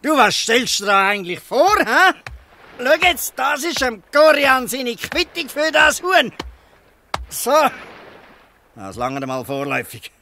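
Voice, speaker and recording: Blustering old Swiss fisherman, swooping pitch. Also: sing-song, takes it slowly, sharp tone, a little hiss or hum, exaggerated voice. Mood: scolding, mocking. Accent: Swiss German. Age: older. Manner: blustering, ends taunts with a questioning "hä". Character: gruff old fisherman, scolding, shrewd, softening. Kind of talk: dialect radio play acting.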